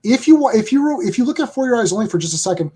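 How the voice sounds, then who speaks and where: A man's voice: extremely high pitch, big pitch swings, racing, warm tone, breathy edge. A man hosting a casual podcast chat, emphatic and opinionated about films. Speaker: host.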